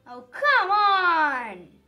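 Storybook squirrel, played by a woman: female, high voice, cocky, impatient, groaning complaints. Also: sing-song, bright, forceful tone, faint music behind, full character voice. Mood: exasperated. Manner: scoffing, groaning, drawn-out complaints. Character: proud, impatient, easily exasperated female squirrel.

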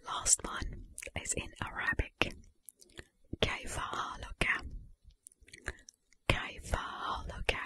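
A woman whispers in an ASMR style with a bright, sharp edge to her breath. She takes her time, pronouncing each phrase deliberately and repeating it. The whole delivery stays soft and unvoiced. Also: very high voice. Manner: slow, deliberate enunciation with repetition. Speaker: ASMR video creator.

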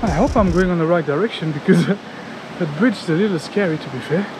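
A man in his thirties from France, vlogging casually outdoors on a jungle trail with a handheld action camera, chatty and excitable, sweaty and a little out of breath from climbing. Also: sits high, fairly quick, music in the background, poor audio. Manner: chatty and excitable, talks to viewers as guys, swears. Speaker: travel vlogger. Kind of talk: casual vlog commentary. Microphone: handheld action camera at arm's length.

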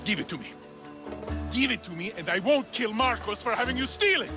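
A touchy, one-eyed gangster from a video game. He blusters and threatens in a very high, strained register that swings wildly, with music behind him. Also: animated, brisk. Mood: enraged. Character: touchy, menacing one-eyed male island thug boss. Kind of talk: video game cutscene acting.

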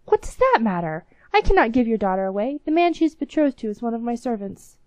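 A woman's voice: a little high, sing-song, fast, a little mellow, no echo, highs cut off. A woman voicing a confident young captain. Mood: assured.

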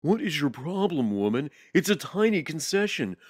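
A man's voice: a little high, sing-song, normal speed, dry recording, studio quality, pitched above the performer's own voice. A man voicing a cold, arrogant, hot-tempered cartel lieutenant, exasperated and commanding, in a motion comic. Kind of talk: motion comic character acting.

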